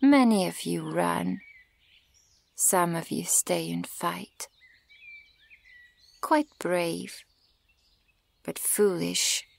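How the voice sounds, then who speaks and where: A woman playing a haughty, menacing, centuries-old elven archer in an ASMR roleplay, deliberate and condescending, at times nearly whispering. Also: fairly low pitch, gravelly, exaggerated voice. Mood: cold, taunting. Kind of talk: slow ASMR roleplay monologue.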